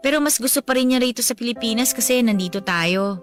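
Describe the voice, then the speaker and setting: A woman's voice: fairly high pitch, quick pace, faint music behind, lightly acted. A woman playing a devoted young adult daughter with a Filipino accent in a radio drama, respectful and earnest. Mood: wistful. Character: devoted, respectful young daughter missing her late father.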